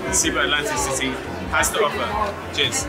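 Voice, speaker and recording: A man's voice: fairly high pitch, moderate pace, a loud crowd. A man in his forties, chatty and upbeat, talking to a handheld camera outdoors at beaches, boats, streets and restaurants. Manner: upbeat and chatty, uses British words like "proper" and "reckon". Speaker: travel vlogger host. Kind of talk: casual travel vlog narration to camera. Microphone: handheld camera at arm's length. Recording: music playing loudly over the voice.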